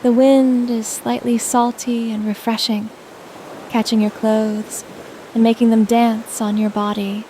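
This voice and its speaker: A woman narrating a scripted guided sleep meditation in a soothing, gentle manner. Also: on the high side, flat delivery, unhurried, a little hiss or hum, poor audio.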